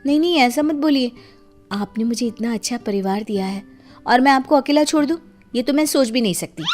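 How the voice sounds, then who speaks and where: A woman's voice: fairly high pitch, fairly quick, darkish tone, faint music behind, natural voice. A woman voicing a naive, grateful young daughter-in-law in a story, gushing and eager. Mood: pleading.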